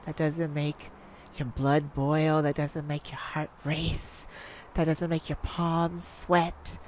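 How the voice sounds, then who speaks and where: A woman playing a cocky, flirtatious master thief in an ASMR romance roleplay, with teasing, drawn-out phrasing and dramatic pauses. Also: sits low, flat delivery, darkish tone, a little hiss or hum.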